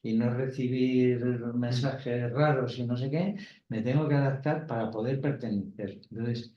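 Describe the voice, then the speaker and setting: Thoughtful man, mellow voice, echoey room. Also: mid-range voice, steady tone, a little slow, slight background noise. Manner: thoughtful, hesitant, searching for words. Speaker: panelist, Gestalt therapist. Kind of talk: round-table discussion. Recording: roomy, dull, lo-fi recording.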